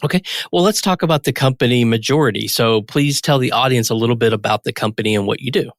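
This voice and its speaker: A man with a medium-pitched voice hosts an interview podcast. He moves between prepared introduction and questions, and the sound is dry with no room echo. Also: fast.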